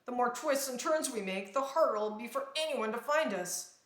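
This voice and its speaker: A woman's voice: on the high side, lively intonation, moderate pace, natural voice. A woman voicing a worried male captive in a storybook, speaking uneasily. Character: worried boy guard captive aboard a stolen ship. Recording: roomy, echoing sound.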